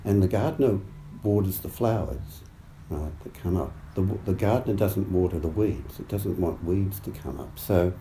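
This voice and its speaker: A man with a croaky voice giving a dharma talk as a meditation teacher, speaking haltingly and thoughtfully with many fillers and restarts. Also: low-pitched, mellow. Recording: roomy echo, faint background noise.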